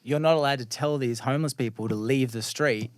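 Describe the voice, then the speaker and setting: A man's voice: mid-range voice, a little fast, dry recording, clean studio sound. A man hosting a casual podcast, blunt and jokey, swearing freely as he talks. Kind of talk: casual chat.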